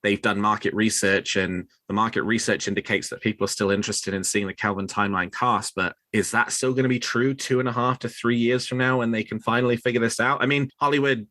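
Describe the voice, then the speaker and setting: Bright-voiced man hosting a podcast. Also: on the low side, steady tone, brisk, few pauses, no room sound. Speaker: podcast host. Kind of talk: news discussion on a fan podcast.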